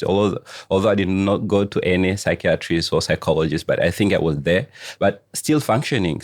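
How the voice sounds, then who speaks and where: Deep-voiced man, slow and searching. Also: no echo, clean studio sound. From Tanzania. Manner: slow, searching phrasing with frequent "uh" pauses. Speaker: guest coach. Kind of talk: reflective interview answers. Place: studio.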